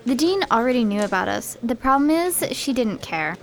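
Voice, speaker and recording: A woman's voice: fairly high pitch, big pitch swings, normal speed. A young woman playing a scheming, bossy student rebel leader in an audio drama. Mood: commanding.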